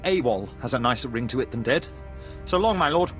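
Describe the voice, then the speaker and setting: A man's voice: medium pitch, expressive, a little fast, a bit bright, soft background music, lightly acted. An actor playing a cowardly Imperial officer in a sci-fi game, hesitant, deferential and eager to save his skin. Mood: nervous, relieved. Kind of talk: video game voice acting.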